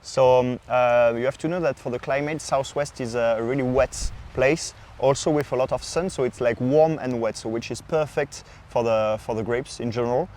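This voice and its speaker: A Frenchman with a clear French accent gives an informal, explanatory podcast talk as a sommelier. His voice sits at an average male pitch, and his non-native phrasing is hesitant and halting. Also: a little mellow. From France. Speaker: podcast sommelier presenting wine regions.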